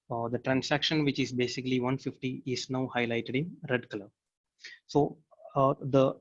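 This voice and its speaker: A man's voice: mid-range voice, fairly bright tone. Man presenting, dull lo-fi audio. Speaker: technical presenter. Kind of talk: software demo walkthrough, explanatory.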